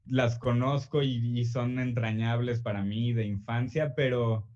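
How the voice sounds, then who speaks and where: A young Mexican man, an author answering interview questions in a relaxed, hesitant way full of fillers and restarts. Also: mid-range voice, unhurried, dull audio.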